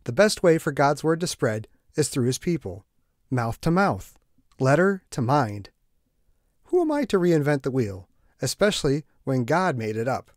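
A man's voice: mid-range voice, big pitch swings, moderate pace, no echo. Man reading a podcast promo, dry sound. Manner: friendly, lightly joking promo delivery. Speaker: podcast host.

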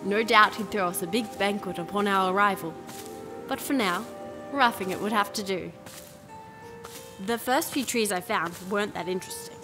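A woman's voice: medium pitch, moderate pace, lightly acted. A young woman playing a plucky, flippant princess in an audio drama, speaking in a chatty, wry, informal way. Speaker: lead actor and narrator. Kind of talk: audio drama, first-person narration and dialogue. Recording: music under the voice.

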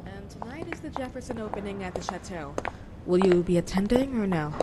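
An actress in an audio drama playing a poised, polite secretary with a wry, teasing manner. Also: female voice, medium pitch, expressive, brisk, noisy background, natural voice. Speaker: actor.

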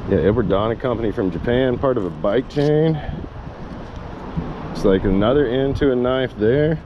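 A man's voice: mid-range voice, normal speed, highs cut off. A man doing casual outdoor vlog commentary, talking to viewers and to himself as he works, recorded on a chest-mounted action camera. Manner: talks to viewers and himself while working. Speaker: magnet fishing vlogger. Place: outdoors on concrete by water under a road bridge. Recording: noisy outdoor action-camera audio with ambience.

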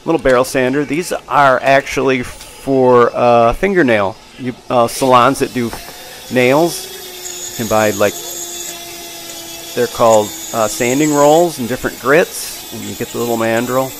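A man's voice: medium pitch, measured pace. A man in his sixties casually narrating hands-on shop work in a folksy, plainspoken way. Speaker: machinist and channel host. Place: machine shop, indoors. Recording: clear machine and tool noise. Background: machinery and power tools.

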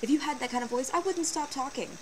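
Teen girl vlogger, lowish voice, animated and sarcastic, bedroom webcam with audible hiss. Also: fast talker. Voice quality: fairly low voice for a woman. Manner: chatty, animated, sarcastic asides. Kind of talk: casual webcam vlog. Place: small bedroom, indoors. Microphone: built-in webcam mic. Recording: noisy low-quality webcam recording.

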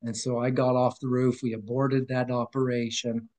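A middle-aged man speaks over a webcam from a room at home, earnest and rambling as he tells his story. He often restarts his sentences and his voice falls suddenly quiet before coming back up. His pitch sits in a plain middle range. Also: flat delivery, moderate pace. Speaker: podcast guest. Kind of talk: emotional personal testimony. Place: room at home with framed picture on wall. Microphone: video call webcam.

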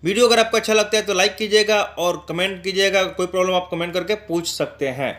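A man's voice: high-pitched, fast, a bit bright. A man giving an informal promotional voice-over as a channel host, explaining things repetitively and addressing viewers directly.

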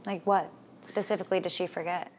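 Woman doing polite young friend, lowish voice, just going along with it. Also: flat delivery, a little fast, slight background noise, natural voice. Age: young adult. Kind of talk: deadpan comedy sketch acting. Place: indoor apartment kitchen.

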